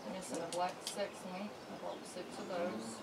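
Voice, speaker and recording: A woman's voice: on the low side, expressive, vocal fry. Woman counting chickens, distant and noisy. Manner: brisk, matter-of-fact counting. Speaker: homestead vlogger. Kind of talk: casual chat while counting poultry. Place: metal-walled chicken coop. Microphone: camera on a tripod. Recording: distant camera mic, noisy coop. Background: clucking chickens and roosters.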